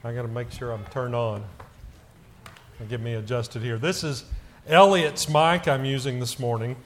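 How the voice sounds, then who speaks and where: A middle-aged man, a church elder, speaking loudly to the congregation and stumbling with frequent fillers. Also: mid-range voice, steady tone. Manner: loud voice, halting with many fillers.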